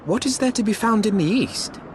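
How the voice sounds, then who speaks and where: A man's voice: high voice, expressive, a little fast, a little hiss or hum. An actor playing an earnest, troubled young nobleman in a fantasy game, speaking in formal, eloquent, questioning phrases. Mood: troubled, urgent. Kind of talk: archaic fantasy game cutscene acting.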